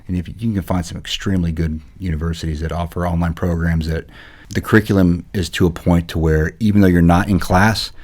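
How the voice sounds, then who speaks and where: Very deep-voiced man, dry podcast co-host. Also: fairly even intonation, brisk, a little mellow. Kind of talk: casual conversational podcast.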